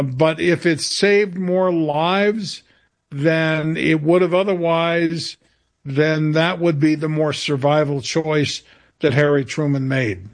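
Halting, gravelly man, slow-paced. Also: a little high. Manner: halting, searching for words. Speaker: interview guest. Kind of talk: unscripted radio interview.

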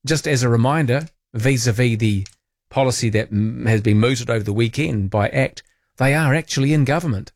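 A man's voice: medium pitch, moderate pace, no room sound, clean studio sound. A man with a New Zealand accent hosting a late-night phone-in radio show, talking in a casual, colloquial, wry way. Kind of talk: phone-in radio chat and commentary.

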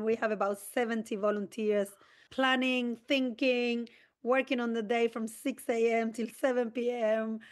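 A woman from Argentina, an interview guest who explains at length in an earnest, enthusiastic way with brief restarts. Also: fairly high pitch. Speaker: community organisation president.

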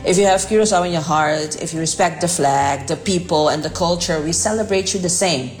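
A woman from Curaçao sending a listener voice note, speaking in an earnest, deliberate way. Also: on the low side, faint music behind. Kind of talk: read voice message.

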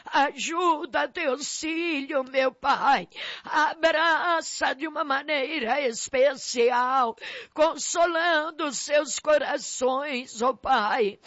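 A woman's voice: high-pitched, a bit bright, no room sound. A woman praying aloud in fervent, impassioned intercession, pleading and at times tearful, breaking into speaking in tongues. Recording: dull lo-fi recording.

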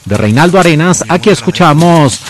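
A man presenting a film in scripted narration, giving titles and names with deliberate emphasis. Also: on the high side, lively intonation.

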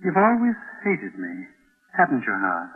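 A man's voice: medium pitch, wildly swooping pitch, normal speed, husky. An actor playing a menacing, self-justifying middle-aged stepfather in an old-time radio thriller, insistent and coldly formal. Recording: tinny telephone-like old radio recording.

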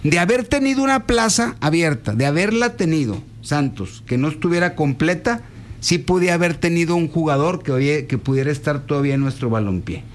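A man's voice: on the high side, animated, fairly quick. A man hosting a casual football talk show on the radio, rambling and restarting his phrases. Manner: rambling, restarts and repeats himself. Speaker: sports radio host.

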